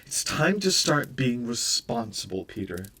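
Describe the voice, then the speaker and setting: Man voicing a stern exasperated young mother, firm and reproachful, slow, a touch distant. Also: medium pitch, big pitch swings, creaky, a bit bright, husky, full character voice. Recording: voice a bit far from the mic.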